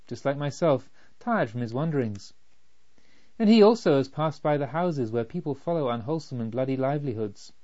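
A man voicing a serene, courteous wandering holy master in a storybook reading, speaking gently and reflectively. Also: medium pitch, natural voice. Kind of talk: storybook narration. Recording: slightly dull, lo-fi.

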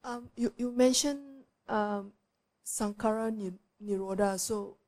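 A woman attending a retreat, asking a question in a soft, hesitant, halting way. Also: mid-range voice, fairly even intonation.

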